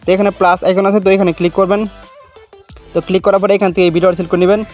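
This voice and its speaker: Very fast, high-pitched Bangladeshi man. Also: little pitch movement, darkish tone, soft background music. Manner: colloquial, repetitive instructional phrasing. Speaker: tutorial video host. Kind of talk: casual step-by-step screen tutorial.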